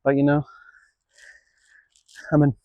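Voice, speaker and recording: A man's voice: a little high, monotone, takes it slowly, a little hiss or hum. Rambling self-deprecating young man, lo-fi. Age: 20s. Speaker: skateboarding vlogger. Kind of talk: casual self-filmed vlog commentary.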